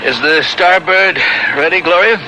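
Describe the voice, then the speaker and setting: A man's voice: high-pitched, normal speed, natural voice. An actor playing an apologetic, resigned middle-aged businessman father in a radio drama, hesitant and confiding. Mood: friendly, hesitant. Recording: very noisy old radio drama recording.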